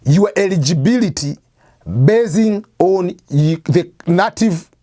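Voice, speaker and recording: A man's voice: high-pitched, a little slow, warm tone. A man hosting an advice show, explaining step by step in a conversational way, asking and answering his own questions. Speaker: host of an immigration advice channel. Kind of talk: explanatory talk to camera.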